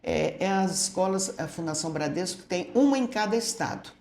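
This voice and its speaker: An older woman, a retired school director, telling stories from her early years in a relaxed way and often tagging her sentences with "né?". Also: on the low side, brisk, vocal fry, a little hiss or hum. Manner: storytelling, tags statements with "né?". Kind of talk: reminiscing interview answers. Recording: echoey room.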